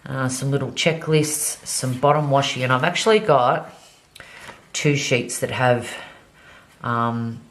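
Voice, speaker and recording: A woman's voice: extremely low pitch, takes it slowly. A woman vlogger chatting casually as she decorates her planner, slightly nasal from a cold, with many ums. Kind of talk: casual plan-with-me narration while decorating.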